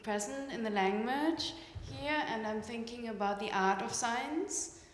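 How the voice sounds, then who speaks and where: Slow-spoken German-native woman, echoey hall. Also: a little high, slight background noise. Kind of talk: question at a symposium. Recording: echoey hall with long reverb, faint ambience.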